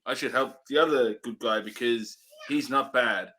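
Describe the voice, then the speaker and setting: A man co-hosting a movie review show, chatting casually and offering measured, hedged opinions. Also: mid-range voice, pushed and bright.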